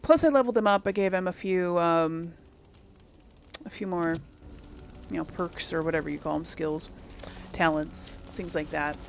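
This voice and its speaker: A woman's voice: on the low side, normal speed, long pauses, slight background noise. A woman giving casual let's play commentary as host, rambling as she thinks aloud and corrects herself. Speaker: gaming video host.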